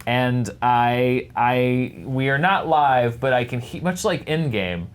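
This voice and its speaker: A man's voice: mid-range voice, takes it slowly, clean tone. A man speaking as a judge on an unscripted film debate panel, articulate and wordy, with self-deprecating humour.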